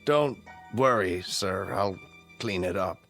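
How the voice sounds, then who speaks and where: An actor playing a humble older night janitor in a radio drama, polite, deferential and a little hesitant. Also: male voice, low-pitched, animated, slow pace, fairly bright tone, soft background music, full character voice. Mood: apologetic, polite. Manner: deferential, hesitant, plain-spoken.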